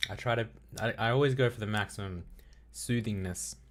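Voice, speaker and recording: Young male streamer, low-pitched, relaxed and rambling, self-deprecating with chat, keyboard typing audible. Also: brisk. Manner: mumbling, trailing off while thinking aloud. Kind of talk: casual live-stream chat while coding. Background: keyboard typing and clicks.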